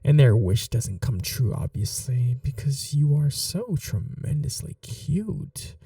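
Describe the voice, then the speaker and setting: Obsessive lovestruck kidnapper, male actor, soft and breathy, slow delivery with animated pitch swings, awkwardly tender ASMR roleplay. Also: no echo, clean studio sound, far from the mic, heavily acted. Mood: doting, earnest. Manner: gentle, halting, coaxing reassurance. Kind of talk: intimate ASMR roleplay, often near whispering.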